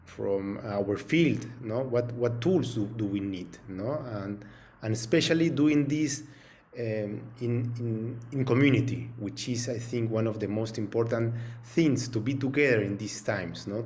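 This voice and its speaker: A middle-aged man from Peru, a program director, giving a halting video-call invitation that keeps repeating words and tagging on "no?". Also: mid-range voice, darkish tone. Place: home room with paintings on the wall. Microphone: video call webcam.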